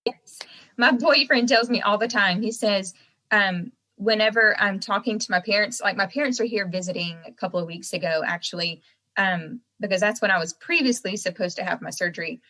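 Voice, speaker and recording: Bright-voiced young Southern American woman. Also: medium pitch, a little fast, rough recording. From Southern United States. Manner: animated storyteller who laughs often. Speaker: guest singer-songwriter. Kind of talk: casual interview chat.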